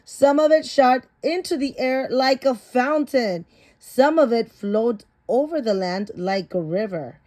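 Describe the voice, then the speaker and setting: Slow-paced woman reading a picture book aloud. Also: a little high, expressive, a little mellow. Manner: stumbles over harder words and corrects herself. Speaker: story reader.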